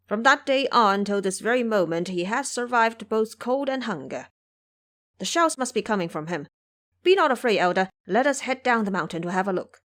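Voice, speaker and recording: A woman's voice: medium pitch, a bit bright, natural voice. A woman voicing a bold, reassuring male mountain guardian with an emphatic, explanatory manner in an audio drama.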